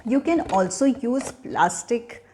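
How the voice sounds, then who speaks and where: A woman giving an educational talk for children, speaking in a deliberate, teacherly manner as a storyteller and host. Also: a little high, expressive, a little mellow, slight background noise. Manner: teacherly, deliberate, asking questions then answering them.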